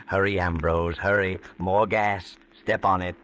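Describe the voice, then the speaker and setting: An actor playing a sinister, taunting narrator in an old-time radio suspense drama, mocking and ominous like a menacing inner voice. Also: male voice, deep voice, steady tone, measured pace, noisy background. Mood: urgent menace.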